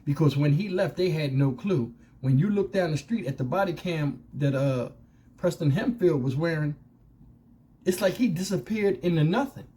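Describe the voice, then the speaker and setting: A man's voice: on the high side, animated, moderate pace, mellow. A man giving casual opinion commentary as a channel host, speaking colloquially and conversationally with rhetorical questions.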